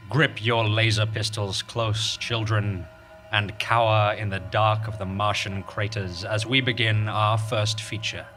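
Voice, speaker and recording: A man's voice: on the low side, sharp tone. A man acting as the ominous, grandiose narrator of a melodramatic space western radio serial. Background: music.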